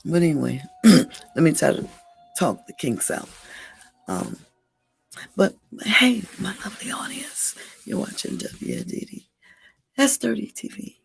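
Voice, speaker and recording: Hoarse, gravelly livestream host. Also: mid-range voice, wildly swooping pitch, a little slow, long pauses, faint music behind, rough recording. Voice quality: raspy, rough throat. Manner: repeats the station call-outs over and over, coaxing viewers to like and subscribe. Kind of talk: casual livestream show intro.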